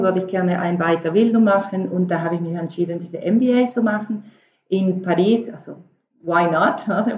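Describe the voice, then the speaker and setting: Female interview guest, middle-aged, Venezuelan Spanish accent, halting casual delivery, dull roomy sound. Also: mid-range voice, a little mellow. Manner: halting non-native speech with English business words. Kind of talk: casual personal storytelling. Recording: muffled, echoey room.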